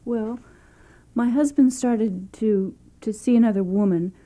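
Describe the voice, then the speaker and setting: Actress as soft, very mellow timid wife. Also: female voice, on the high side, measured pace, lightly acted. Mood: sheepish. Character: timid, sheepish divorced wife sharing at seminar. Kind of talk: satirical radio comedy acting.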